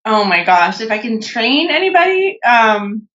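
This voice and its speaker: A woman's voice: on the high side, big pitch swings, measured pace, fairly bright tone. A young woman from California, chatting casually as a podcast guest, with lots of fillers and restarts. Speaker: podcast guest, natural bodybuilder. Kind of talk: casual interview chat. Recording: dull lo-fi podcast audio.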